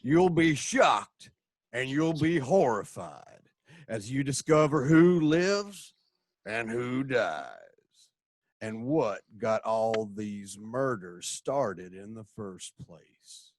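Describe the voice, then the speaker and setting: A man's voice: medium pitch, lively intonation, slow, no room sound. A man directing and announcing a radio drama serial.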